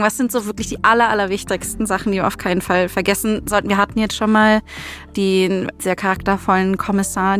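A woman hosting a podcast, asking questions in a casual, conversational way. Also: medium pitch. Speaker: podcast host.

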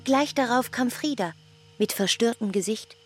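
A woman narrating a literary radio drama in short, deliberate, clipped phrases. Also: a little high. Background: music behind the voice.

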